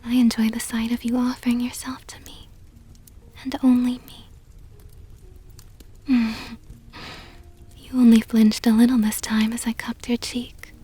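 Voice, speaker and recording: A woman plays a seductive vampire countess in an ASMR roleplay, very breathy and whispery. She speaks slowly and softly, with a dark, velvety tone and a possessive, teasing tenderness, close to the mic. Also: fairly high pitch, level tone. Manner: slow, teasing, condescendingly tender. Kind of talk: ASMR roleplay, intimate monologue. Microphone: close microphone.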